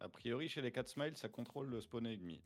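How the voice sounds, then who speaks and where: A man's voice: medium pitch, lively intonation, quick pace, faint music behind. A man giving laid-back, teasing color commentary on a live esports match. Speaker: esports co-caster.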